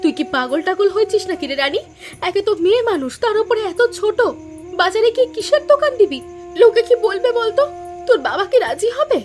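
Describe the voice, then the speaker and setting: A woman's voice: very high-pitched, very animated, brisk. A woman voicing a caring, anxious village mother with a pleading, cautious way of talking in a Bengali cartoon. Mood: alarmed, pleading.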